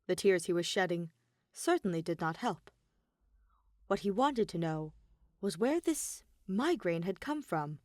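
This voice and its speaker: A woman reading dramatic fan fiction narration in a deliberate way, pausing between phrases. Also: mid-range voice, darkish tone, no echo, studio quality. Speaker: podfic reader.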